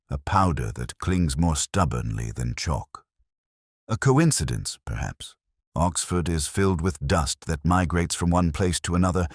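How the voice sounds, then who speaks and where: Male narrator for literary detective fiction: extremely low pitch, lively intonation, measured and reflective delivery, close dry sound. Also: no room sound. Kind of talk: scripted literary mystery narration in first person.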